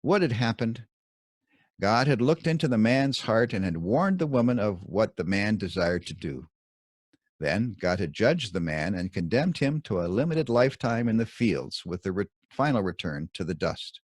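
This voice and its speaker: A man reading his own scripted scripture commentary in a measured, emphatic teaching manner. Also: on the low side, sing-song, no echo, studio quality.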